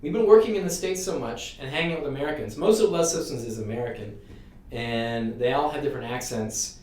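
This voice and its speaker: A man's voice: medium pitch, fairly quick, darkish tone, distant mic. A Canadian man co-hosting a dating advice live stream, joking and riffing playfully in casual banter. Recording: roomy sound, away from the mic.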